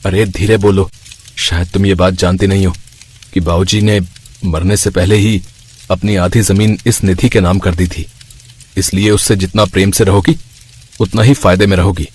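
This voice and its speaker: A man playing a placating, scheming village husband with a slightly husky voice in a narrated story. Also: low voice, fairly even intonation, moderate pace, darkish tone. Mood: hushed scheming. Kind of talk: acted dialogue in a narrated story.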